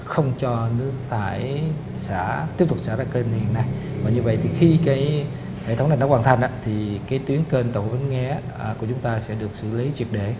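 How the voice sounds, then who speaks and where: A middle-aged man explaining a city project in a formal interview, measured and full of "ờ" pauses. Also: mid-range voice, background noise. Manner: measured, explanatory, frequent "ờ" fillers. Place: studio office set with photo wall. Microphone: lapel mic.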